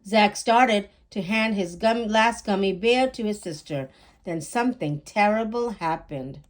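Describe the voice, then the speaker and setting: A woman's voice: medium pitch, a little slow. A woman hosting a children's storytime, reading aloud as a storyteller.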